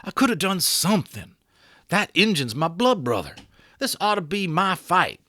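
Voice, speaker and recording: A man voicing a rough, excitable grown cowboy who talks in folksy backcountry grammar. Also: high voice, moderate pace, dry recording, pitched above the performer's own voice, full character voice. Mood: fervent.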